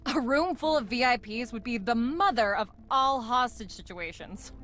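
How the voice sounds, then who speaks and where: High cheeky squad agent. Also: female voice, expressive, moderate pace, a bit bright. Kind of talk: scripted game dialogue.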